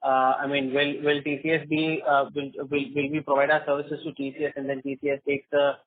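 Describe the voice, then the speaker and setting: A man's voice: fairly high pitch, fairly quick, no echo. A man asking questions as an analyst on an earnings call, hesitant and repeating his words. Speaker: caller, financial analyst. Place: conference phone call. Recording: flat, dry narrow phone line.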